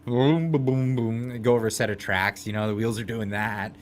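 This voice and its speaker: A man of forty hosting a casual chat, relaxed and quick to laugh. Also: on the low side, slight background noise. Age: 40s. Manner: laid-back, laughs often.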